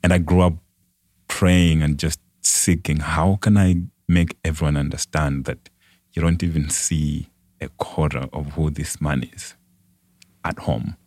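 A man's voice: a bass voice, steady tone, measured pace, a little mellow, dry recording. A young man from Malawi with a Malawian accent, telling his own story conversationally as an interview guest. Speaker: podcast guest.

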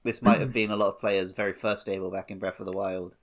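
Male gaming podcast co-host with a fairly low voice, conversational and informal. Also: steady tone, fast talker. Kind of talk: casual video game playalong chat.

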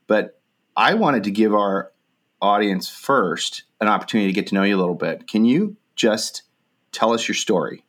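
A man's voice: lowish voice, lively intonation, moderate pace. A man hosting an interview over a video call, asking long, encouraging questions. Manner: warm, encouraging, wordy questions. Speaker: interview host. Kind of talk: friendly interview.

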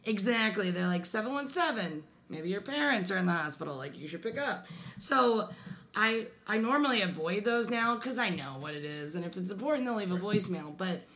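A young woman from Pennsylvania with a low voice, co-hosting a casual chat and telling stories with frequent laughter. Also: lively intonation, fairly quick, fairly bright tone. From Pennsylvania, USA. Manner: chatty storyteller, laughs often. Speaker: podcast co-host.

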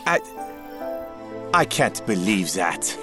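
Man playing a low-voiced proud, controlling husband. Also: big pitch swings, slow, over music, heavily acted. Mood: troubled. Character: proud, controlling husband and father, stern provider. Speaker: actor in an audio drama. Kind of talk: dramatic audio drama dialogue.

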